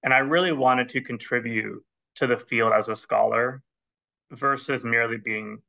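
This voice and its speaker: Gravelly American man on a call. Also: mid-range voice, moderate pace, bright, forceful tone. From Netherlands. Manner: earnest, explanatory. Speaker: guest scholar. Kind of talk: interview. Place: plain indoor room. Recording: dull lo-fi video call audio.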